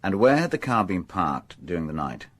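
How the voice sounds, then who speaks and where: An actor playing a methodical police inspector in a radio crime drama, asking measured, polite, probing questions. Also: male voice, low voice, very animated, a bit bright, full character voice.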